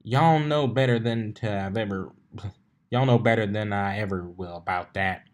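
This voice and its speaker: A man's voice: on the low side, normal speed, a little mellow, close to an everyday voice. A man voicing an easygoing, reassuring female farmer pony with folksy, plain-spoken country speech in a story reading. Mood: curious.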